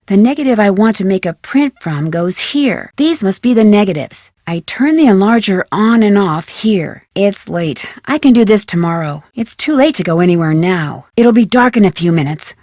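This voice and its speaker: A woman's voice: mid-range voice, animated, normal speed, few pauses, a little mellow, no echo, studio quality. An actress playing a plucky, curious young American woman detective who thinks aloud in a wry, scripted adventure game style. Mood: thoughtful. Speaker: video game voice actor.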